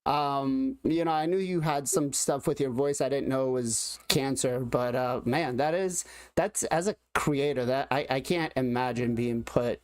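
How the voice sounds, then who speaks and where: A man's voice: medium pitch, unhurried, hardly any pauses, no room sound. Male panel host, easygoing filler-heavy moderating, dry mic. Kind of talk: casual panel chat.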